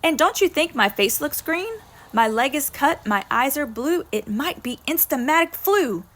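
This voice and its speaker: A woman's voice: high-pitched, lively intonation, moderate pace, fairly bright tone, pitched above the performer's own voice. A woman voicing a melodramatic little girl who whines and complains with exaggerated emphasis in a children's poem. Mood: whiny, dramatic. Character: whiny, melodramatic little girl faking sick. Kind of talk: children's poem read aloud.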